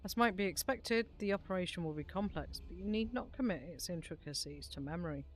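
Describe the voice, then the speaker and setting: A woman voicing a stern military commander, reading fantasy game briefing dialogue in a formal, deliberate way. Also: fairly low pitch, lively intonation, natural voice. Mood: stern, instructive. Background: background music.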